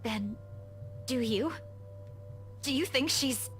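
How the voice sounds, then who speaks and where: Earnest young woman, higher-pitched. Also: slow pace, fairly bright tone, faint music behind. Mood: worried. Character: young woman real-estate agent, earnest, teasingly blunt. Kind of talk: visual novel voice acting.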